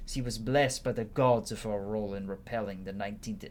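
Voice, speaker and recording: A man's voice: on the low side, fairly even intonation, fairly quick, natural voice. Man voicing a curt, combative female military commander. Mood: guarded. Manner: curt, defensive, scornful. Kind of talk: dramatic reading of game dialogue. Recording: clearly noisy background.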